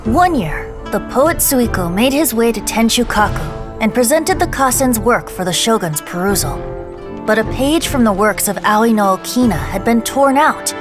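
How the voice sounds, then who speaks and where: A playful young bard voiced by a woman, fairly high and very lively in intonation. The game character lilts like a storyteller over music. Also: normal speed, close to an everyday voice. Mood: storytelling. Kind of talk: video game voice acting. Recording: music behind the voice.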